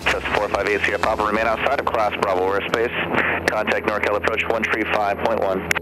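A man's voice: lowish voice, little pitch movement, brisk, loud music behind the voice, low-quality recording. A man giving clipped instructions as an air traffic controller over aviation radio.

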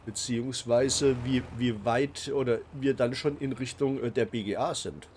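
A man's voice: mid-range voice, normal speed, darkish tone, a little hiss or hum. A man with a cold, hosting a casual let's play and talking along with many fillers and restarts. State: has a cold. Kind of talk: casual game commentary.

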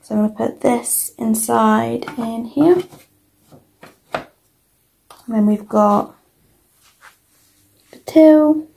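A young woman with a British accent giving a casual, informal toy review as an unhurried walkthrough. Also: a little high, vocal fry, darkish tone, a little hiss or hum.

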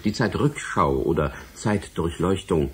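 A middle-aged bureaucrat in a radio play, weary, wry and courteous. The voice is low and very husky, with lively, theatrical swings in tone. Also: male voice, a little slow, exaggerated voice. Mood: courteous, evasive. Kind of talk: German radio drama acting.

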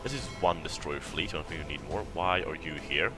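A man's voice: rumbling low, fairly quick, over music. A young man with a faint Italian accent giving rambling, casual commentary while playing a strategy game, laughing often and talking to the enemy. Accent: Italian, softened by years in Sweden. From Italy. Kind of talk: casual let's-play commentary.

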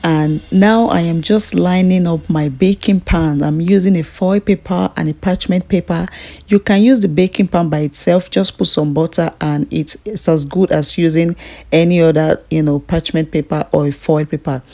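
A woman's voice: lowish voice, fairly even intonation, normal speed, dark tone. A woman with a Nigerian accent, narrating a home cooking tutorial in a casual, chatty style as a food vlogger. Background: background music.

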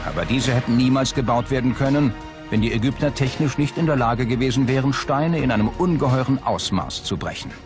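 A man reading scripted documentary narration in a measured, factual manner. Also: medium pitch. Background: background music.

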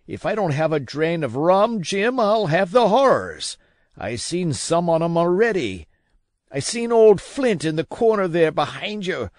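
A man voicing a weak, feverish old sea captain who curses and pleads in rough sailor talk. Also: on the high side, sing-song, measured pace, dry recording, clean studio sound, voice pushed up. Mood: desperate. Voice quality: feeble, wheedling.

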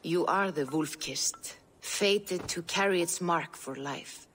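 A woman voicing a mystical Norse seer in a video game, measured and portentous, like an oracle delivering fate. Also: fairly low pitch, fairly bright tone, a little hiss or hum. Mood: solemn, foreboding. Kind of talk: dramatic video game cutscene acting.

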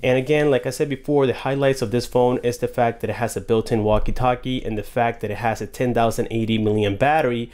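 Guy doing a casual tech unboxing, upbeat and explainy, medium pitched voice, talks right to the viewers. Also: steady tone, fast talker, few pauses, a little mellow. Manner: enthusiastic, explanatory, addresses viewers as guys. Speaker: tech reviewer. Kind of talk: casual unboxing and review narration.

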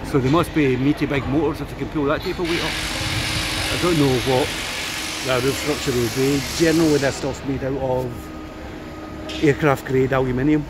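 Male vlogger, mid-pitched, casual and explanatory, filming handheld outdoors with loud machinery noise behind. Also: steady tone, moderate pace. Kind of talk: casual running commentary. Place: outdoors in stands of large open stadium. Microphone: handheld phone or camera.

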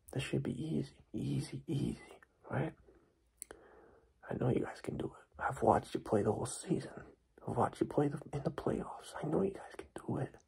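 A young man doing an ASMR basketball coach roleplay in a hushed, breathy, husky whisper, repeating himself as he addresses his team. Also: medium pitch. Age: young adult. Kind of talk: ASMR roleplay pep talk and play explanation. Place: small dark room indoors.

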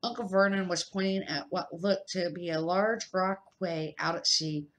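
A middle-aged woman reading a children's novel aloud as an amateur, slightly halting and correcting her words as she goes. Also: on the low side, steady tone, a bit bright. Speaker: amateur storybook reader.

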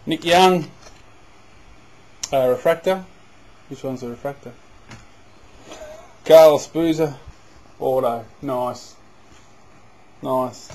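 Excitable man opening card packs. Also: medium pitch, slow, long pauses, poor audio. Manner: excitable, bursts loud on big pulls. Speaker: card box breaker. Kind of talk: casual running commentary. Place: indoors at a table.

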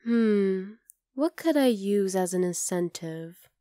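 A woman's voice: mid-range voice, animated, slow, mellow, dry recording, studio quality. A woman playing a young wolf-girl girlfriend in an intimate ASMR roleplay, affectionate, teasing and coaxing. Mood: fond teasing. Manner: cooing, playful, coaxing.

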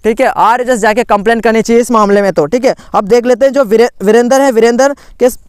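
A man's voice: extremely high pitch, steady tone, fast talker, smooth, no room sound, clean studio sound. A man, urgently walking viewers through admission lists over a screen recording, indignant and full of restarts. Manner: urgent, indignant, many restarts and "theek hai" fillers. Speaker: education channel host.

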